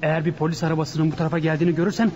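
Man acting a cocky, high-pitched gang boss. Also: level tone, rapid-fire, a little mellow, muffled recording, natural voice. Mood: commanding. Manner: commanding, dismissive. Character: bossy male leader of a burglary gang, impatient and cocky. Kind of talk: radio drama acting. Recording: radio play with faint background noise.

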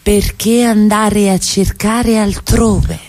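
A woman acting in a radio play as an earnest, wounded middle-class wife, questioning in a measured way. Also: medium pitch, steady tone, a little mellow. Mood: reproachful.

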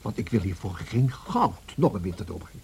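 An actor playing a courteous, genteel gentleman tenant in a radio drama, speaking politely and formally on an old recording. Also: lowish voice, sing-song, fairly quick, creaky, a little hiss or hum. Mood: polite, affable.

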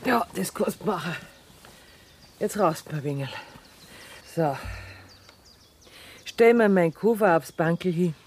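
A woman's voice: fairly low pitch, expressive, slow pace, pauses often, a little mellow, husky, full character voice. An actress playing a practical, bossy woman with a Bavarian accent in a radio drama, matter-of-fact in her talk. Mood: brisk, practical. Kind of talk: 1970s German radio drama acting.

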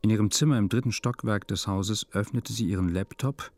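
An actor playing a detached male narrator, reading a literary radio drama in a deadpan, meticulous, measured manner. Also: lowish voice, no echo. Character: detached male first-person narrator of a melancholy story.

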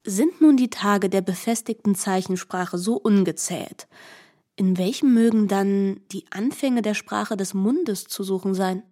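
A woman reading scripted literary narration for radio in a measured, explanatory way. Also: medium pitch, warm tone.

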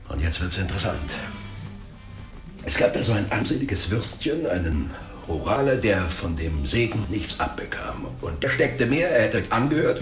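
A man's voice: fairly low pitch, roomy sound. A man playing a slick, greedy, chummy private detective who talks in slang in a radio drama. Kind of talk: radio drama dialogue, storytelling monologue.

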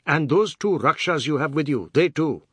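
A male reader acts out a proud, blustering man from a storybook. He pitches high with a husky edge, indignant and hot-tempered, delivering emphatic threats and declarations. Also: lively intonation, quick pace, fairly bright tone, no room sound.

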